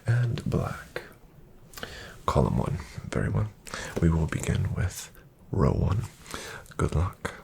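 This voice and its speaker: A man playing a courteous, composed casino dealer in a soft-spoken ASMR roleplay, with formal phrasing and a breathy near-whisper. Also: deep voice, very animated, a little hiss or hum, exaggerated voice. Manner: formal, polite, measured phrasing.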